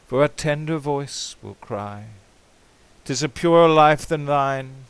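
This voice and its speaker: A man giving a theatrical, impassioned recitation of poetry, swinging between hushed and ringing delivery. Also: mid-range voice, gravelly, clear, resonant tone, no room sound, poor audio. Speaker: amateur poetry reader.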